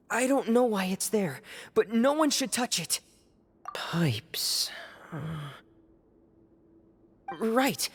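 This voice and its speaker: A man playing a soft-spoken, earnest, troubled teenage boy in a video game, with a halting delivery. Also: high-pitched, husky, heavily acted. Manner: hesitant, halting. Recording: game music behind the voice.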